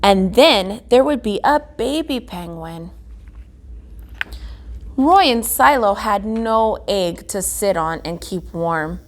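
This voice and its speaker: A woman reading a children's picture book aloud as a guest reader, phrasing it deliberately with emphasis. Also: on the high side. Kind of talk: children's storybook read-aloud.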